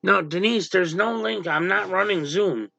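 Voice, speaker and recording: A gravelly-voiced man hosting a livestream from a dim room at home, blunt and easily irritated with his chat. His voice sits fairly high and sounds bright and tense. The sound is dull and lo-fi, and very dry. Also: moderate pace, dry recording. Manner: blunt, irritated, snaps at chatters. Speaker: livestream host. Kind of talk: casual livestream chat reading viewer comments.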